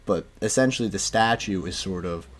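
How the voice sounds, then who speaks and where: A man's voice: deep voice, normal speed, soft background music. A man in a casual podcast chat, explaining things hesitantly with plenty of fillers.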